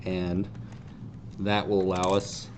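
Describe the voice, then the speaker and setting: A man in his thirties casually hosting a hands-on how-to demonstration in a deadpan, self-deprecating way. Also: low-pitched, slow pace. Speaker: host of a fan group's how-to video. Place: indoor tabletop video shoot. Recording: clear background noise.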